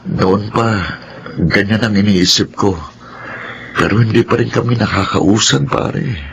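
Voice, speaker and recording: A man's voice: lowish voice, a little slow, creaky, a bit bright, slight background noise. An actor playing a struggling, apologetic Filipino husband and father in a radio-style drama. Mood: weary. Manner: apologetic, downcast. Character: struggling guilt-ridden Filipino husband and father, a poor driver. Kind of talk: radio drama acting and first-person narration.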